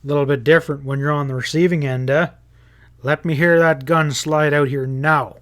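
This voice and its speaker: A man's voice: a little high, steady tone, moderate pace, a bit bright, natural voice. A man voicing a bold cowboy lawman in a western story, snapping out commands. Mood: taunting, firm.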